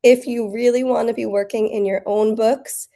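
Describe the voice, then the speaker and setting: An American woman with a raspy, hoarse voice from the flu, teaching a bookkeeping webinar in a friendly, chatty way with many fillers. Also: a little high, steady tone, normal speed, mellow. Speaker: bookkeeping instructor. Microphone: computer mic on a video call.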